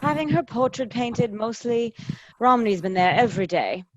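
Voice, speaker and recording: A woman playing a loyal English gentleman friend in a hearty, frank manner in a drama reading. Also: a little high, expressive, moderate pace, lo-fi sound, a little hiss or hum, natural voice. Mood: friendly, frank.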